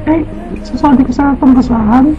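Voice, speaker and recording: Woman dubbing a high-voiced, bashful young Filipina. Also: moderate pace, natural voice. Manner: exclamatory, flustered. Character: bashful, polite, flustered young woman in love. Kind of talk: comic amateur dub of a love story. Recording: dull lo-fi sound with faint music.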